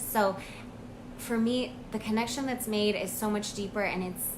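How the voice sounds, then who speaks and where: An American woman, a language coach, talking about her own experience in a casual, earnest vlog monologue with frequent pauses and ums. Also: medium pitch, noisy background. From United States. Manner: earnest, reflective, with pauses and fillers.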